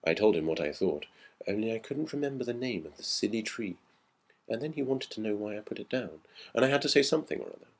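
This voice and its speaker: A man acts out a schoolboy in a storybook ghost tale, puzzled and earnest. The voice is husky and swings widely in pitch, chatty and full of slang. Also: animated, fast talker. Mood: confiding. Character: schoolboy recounting an odd episode, puzzled and earnest. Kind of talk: audiobook character dialogue in a ghost story.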